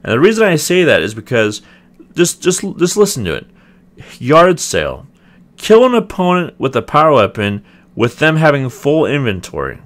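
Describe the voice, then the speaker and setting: A young man with a slightly raspy voice, casually reviewing a video game and hedging often. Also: on the high side, sing-song, normal speed. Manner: rambling, hedging, many ums and restarts. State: clearing throat, voice getting raspy. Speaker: gaming video host. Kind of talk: casual gameplay review commentary.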